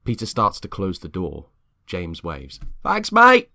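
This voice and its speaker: A man narrating a scripted story in a measured, dramatic way. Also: low-pitched, animated, vocal fry, pushed and bright, no echo, studio quality.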